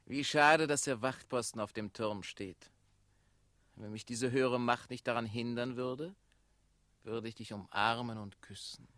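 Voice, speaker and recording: A man playing a cold, ambitious mayor's son and official in a 1960s German radio play, crisp, commanding and self-assured. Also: medium pitch, fairly even intonation, measured pace, fairly bright tone, dry recording, studio quality, natural voice. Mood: smooth, calculating.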